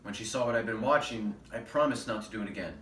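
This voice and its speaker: A man's voice: lowish voice, fast, fairly bright tone, natural voice. A man playing a guilt-ridden young man with a secret addiction, in a rehearsed stage monologue. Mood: guilty confession. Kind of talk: rehearsed readers theater monologue. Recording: roomy distant mic with background noise.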